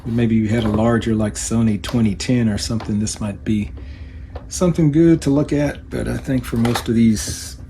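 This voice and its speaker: A man giving a casual, unscripted hands-on product demonstration in a low-key, hesitant manner, as a hobbyist reviewer. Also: noisy background.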